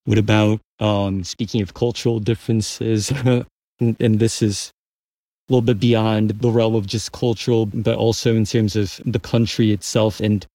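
A man from Brazil co-hosting a panel, friendly and quick to laugh. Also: fairly low pitch, level tone, warm tone, dry recording. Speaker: co-host. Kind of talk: panel discussion.

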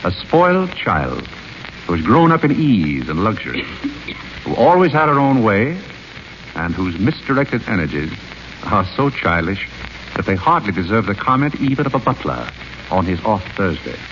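An actor playing a polished, ironic butler with dry wit, in a hissy 1930s radio broadcast. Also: male voice, fairly low pitch, sing-song, moderate pace, dull audio. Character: suave witty male butler. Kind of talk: 1930s radio play acting.

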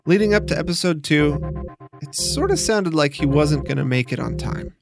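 A man's voice: on the high side, expressive, normal speed, gravelly, over music. A man hosting a podcast, reading scripted documentary narration in an instructional way with emphatic signposting. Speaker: podcast host.

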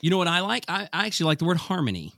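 A man co-hosting a podcast, chatting casually in a playful, excitable way with sing-song emphasis. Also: sits high, very fast, gravelly, dry recording, studio quality. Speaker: podcast co-host.

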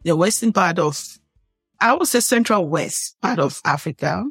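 A woman's voice: fairly low pitch, expressive, normal speed, a bit bright, slightly rough, dry recording, soft background music. Middle-aged Cameroonian woman, interview guest, earnest and reflective, recorded dry. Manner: earnest, reflective, often rephrases mid-sentence. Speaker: guest, biblical studies professor. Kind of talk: personal storytelling in an interview. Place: podcast studio table.

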